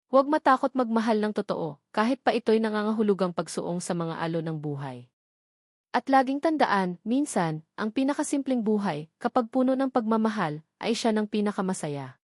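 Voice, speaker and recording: A woman's voice: mid-range voice, animated, darkish tone, dry recording. Woman narrating sentimental stories, dry studio sound. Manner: earnest, sentimental, measured reading. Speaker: story narrator. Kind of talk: scripted dramatic story narration in first person.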